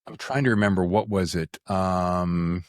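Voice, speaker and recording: A man's voice: deep voice, steady tone, unhurried. A middle-aged American man hosting a podcast, with a playful, theatrical way of talking. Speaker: podcast host. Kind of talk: show introduction and interview chat.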